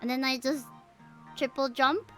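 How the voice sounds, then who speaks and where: A young woman livestreams casual game commentary in a bubbly, expressive, playful way, chatting with viewers as she plays. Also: high-pitched, measured pace, soft background music. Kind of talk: casual gameplay commentary with chat replies.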